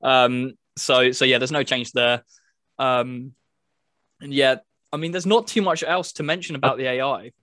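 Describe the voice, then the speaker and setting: A man's voice: medium pitch, fairly even intonation, normal speed, pushed and bright, no echo. A man chatting casually as a podcast host, reviewing a video game.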